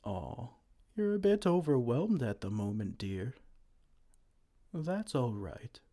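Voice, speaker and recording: A man voicing a kind, gentle male giant who cares for a tiny human, speaking softly and soothingly in an ASMR roleplay. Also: medium pitch, big pitch swings, slow, heavily acted. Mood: tender. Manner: soft-spoken, soothing, tender pet names.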